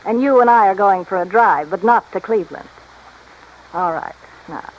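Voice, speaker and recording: A woman's voice: mid-range voice, moderate pace, lightly acted. Scheming femme fatale played by a woman, dull old-radio sound. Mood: threatening. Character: pretty, scheming femme fatale wife, cool and dangerous. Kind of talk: 1950s-60s radio drama acting. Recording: dull, noisy vintage radio recording.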